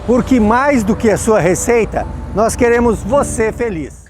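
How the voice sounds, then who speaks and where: A male announcer reading a scripted commercial in a loud, punchy promotional style. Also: high-pitched, expressive, measured pace, a little hiss or hum. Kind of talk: scripted radio ad. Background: music bed.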